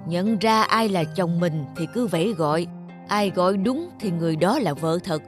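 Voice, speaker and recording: An actor playing a wise old village man with measured authority in an animated folktale. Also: high-pitched, over music, lightly acted. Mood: calm, authoritative.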